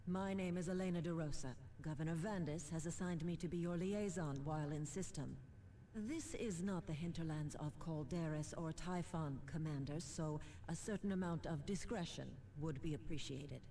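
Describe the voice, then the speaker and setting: An actress playing a composed, diplomatic government liaison in a science fiction video game, speaking in a formal, measured, coolly official way. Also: female voice, deep voice, warm tone, a little hiss or hum. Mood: cool, polite. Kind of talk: formal scripted game dialogue.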